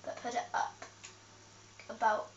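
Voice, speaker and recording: A young woman talking through a game tutorial, hesitant with many ums and self-corrections, heard from a camera filming a TV. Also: unhurried. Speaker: gameplay tutorial host. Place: room with a TV, off camera. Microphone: camera filming the TV screen. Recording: echoey, distant, dull, noisy camera audio.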